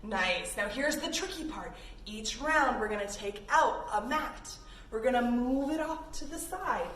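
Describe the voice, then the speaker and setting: A woman's voice: a little high, animated, measured pace, a bit bright. A woman instructing young children in a play-along yoga lesson, encouraging and playful, with little sound effects. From Canada. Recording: roomy, distant mic with faint noise.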